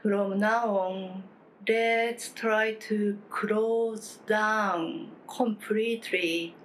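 A woman leading a guided meditation as a teacher, speaking calmly in short phrases with long pauses and gentle instruction. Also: mid-range voice, rough recording. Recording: clear steady background noise, room ambience.

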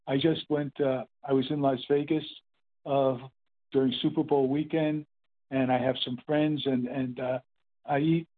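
An older man in his eighties sharing his story at a meeting, rambling and halting with many fillers and restarts. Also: mid-range voice, level tone. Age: 80s. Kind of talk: casual spoken testimony. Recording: phone-line call.

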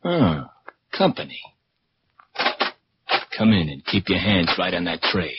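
Very deep, menacing radio gangster. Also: male voice, very animated, slow, full character voice. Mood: coolly menacing. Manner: sardonic, threatening. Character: wounded male gangster holding a hostage, leering and menacing. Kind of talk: 1940s radio acting. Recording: dull, noisy 1940s radio recording with clicks.

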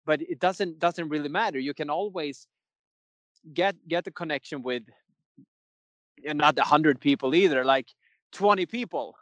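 A man's voice: a little high, normal speed, pauses often, a bit bright, no echo. Rambling man, dry sound. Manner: rambling, many restarts, laughs often. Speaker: metal singer, guest. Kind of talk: casual interview chat.

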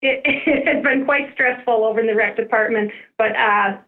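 High-voiced woman on a phone line. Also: moderate pace. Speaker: recreation department representative, radio guest. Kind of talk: casual phone interview. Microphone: telephone. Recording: muffled narrow phone line.

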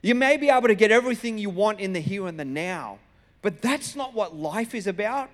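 A man's voice: sits high, animated, a little fast, fairly bright tone. A man with an Australian accent preaching as a pastor, telling stories in a conversational, colloquial way and acting out dialogue. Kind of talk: sermon with personal storytelling. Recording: reverberant hall sound.

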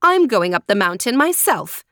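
Spoiled girl as a woman narrator plays her, haughty, greedy, sharp-tongued, higher-pitched, storybook villainess, dry recording. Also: sing-song, normal speed, dry recording. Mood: headstrong. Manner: rude, sharp, demanding.

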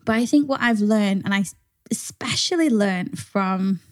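A British woman from North Wales speaks as a podcast co-host. Her pitch is average for a woman, and her delivery is informal and conversational. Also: unhurried. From North Wales, near the English border. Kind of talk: casual conversational chat.

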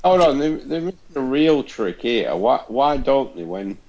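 A man's voice: medium pitch, sing-song, a little slow, darkish tone. A man on a casual gaming podcast, joking and tossing in ideas. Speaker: podcast co-host. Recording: dull lo-fi podcast audio.

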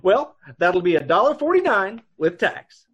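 A folksy male gas station attendant, acted by a man, chatty and a little hesitant. The voice is high for a man and husky, with very lively swings, in old-time radio style. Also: moderate pace, highs cut off, faint music behind, exaggerated voice. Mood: puzzled, folksy.

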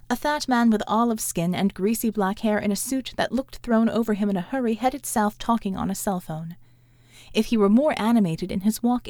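A woman reading literary fiction as an audiobook narrator, in a measured, articulate storytelling delivery. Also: fairly high pitch, a little mellow, no echo, clean studio sound.

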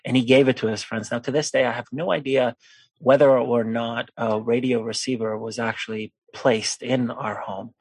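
A man in his forties from Poland, a podcast guest telling a personal story in a lively conversational way and acting out the people he quotes. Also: mid-range voice, moderate pace, husky, dry recording. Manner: animated storyteller who acts out quoted voices.

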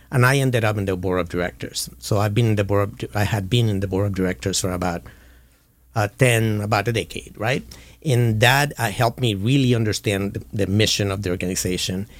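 A man in his forties, originally from Puerto Rico, talks earnestly as a guest in a casual interview. His voice sits fairly low and the recording is close and dry. He often repeats a word or two as he gets a sentence going. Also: no room sound. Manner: earnest, repeats words when starting sentences. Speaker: guest, nonprofit development and communications officer.

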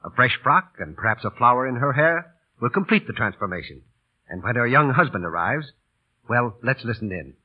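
A man with a husky voice announcing and narrating a scripted advert in 1940s radio style. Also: mid-range voice, very animated, normal speed. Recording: dull vintage radio broadcast recording.

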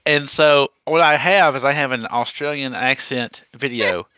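A man's voice: mid-range voice, moderate pace, sharp tone, dull audio, slight background noise. A man co-hosting a podcast, rambling and joking as he gives advice in casual chat. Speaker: podcast co-host.

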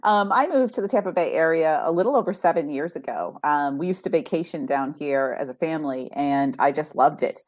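A woman's voice: low-pitched, animated, fairly quick, hardly any pauses, dry recording. A middle-aged woman from Massachusetts, an author and ghostwriter, chatting in an upbeat way and laughing easily as an interview guest. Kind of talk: casual interview chat.

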